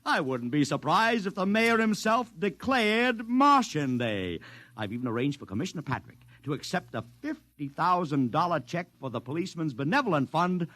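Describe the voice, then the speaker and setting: An actor playing a brash, slangy publicity promoter with an American accent, talking emphatically in a 1950s radio drama. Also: male voice, fairly high pitch, big pitch swings, normal speed, pushed and bright, no room sound, full character voice. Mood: excited, jubilant. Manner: slangy, emphatic, wheeling and dealing.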